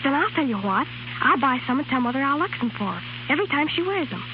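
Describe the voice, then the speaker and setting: An actress playing an eager, chirpy young niece in a scripted 1930s radio advertisement. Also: female voice, high voice, sing-song, fast talker. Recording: hissy, muffled 1930s radio recording.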